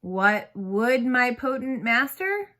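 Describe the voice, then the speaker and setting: A woman's voice: fairly high pitch, very animated, takes it slowly, sharp tone, voice pushed up, heavily acted. A woman voicing an eager, self-doubting schoolboy in a storybook, with a tentative, questioning delivery. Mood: eager, unsure. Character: eager, self-doubting seventh-grade schoolboy rehearsing Shakespeare.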